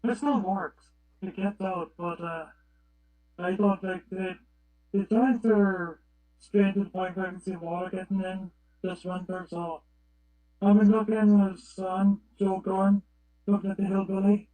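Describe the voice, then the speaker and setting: High-voiced man chatting on a radio net. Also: little pitch movement, measured pace, dark tone, rough recording. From Canada. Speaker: participant on a CB radio club net. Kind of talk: casual chat, telling an anecdote.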